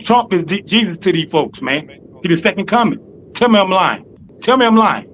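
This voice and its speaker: Southern man, panel guest, loud and insistent, highish voice, lo-fi call. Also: lively intonation, brisk, a little hiss or hum. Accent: Southern American. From American South. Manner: loud, insistent, talks over others, swears. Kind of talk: heated panel argument.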